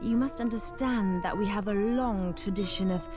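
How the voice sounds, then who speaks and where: An actress voicing a calm, friendly witch who lives alone in the woods, in a game cutscene. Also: mid-range voice, normal speed, music in the background, lightly acted. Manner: calm, explaining. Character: young woman, a hermit witch, calm and friendly.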